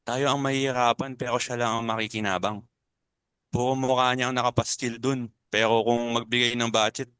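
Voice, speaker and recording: A man's voice: medium pitch, a bit bright, clear, resonant tone, dry recording, lightly acted. A Filipino man playing a blunt, nosy, grumbling club uncle in a dramatic reading.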